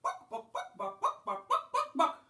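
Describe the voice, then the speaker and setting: A small, plucky storybook hen, played by a woman, very high and bright, with swooping pitch. She is squawky and insistent, clucking as if in words. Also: wildly swooping pitch, normal speed, creaky, roomy sound, exaggerated voice.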